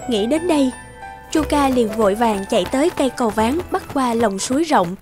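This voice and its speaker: A woman with a fairly high voice narrates a children's fairy tale. Her delivery is scripted and measured, in storyteller style, with music clearly playing behind her. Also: animated.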